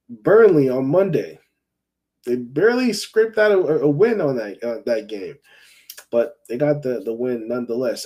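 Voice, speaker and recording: A man's voice: on the high side, dark tone. A man from Jamaica hosting a fan call-in football show, talking in a chatty, rambling way and often repeating himself. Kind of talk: casual football chat.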